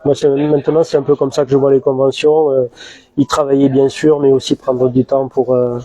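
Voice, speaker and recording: A man with a southern French accent, a tattoo artist answering interview questions in a halting, casual way. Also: mid-range voice, level tone, a crowd in the background, rough recording. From southern France. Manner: halting, many restarts and fillers. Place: tattoo convention hall.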